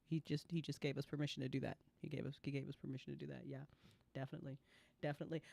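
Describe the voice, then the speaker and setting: A woman's voice: a bass voice, little pitch movement, very fast, vocal fry, darkish tone, no echo. A woman hosting a casual after-show chat, playful and gushing, repeating phrases for emphasis as she questions her guests. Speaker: host and show creator.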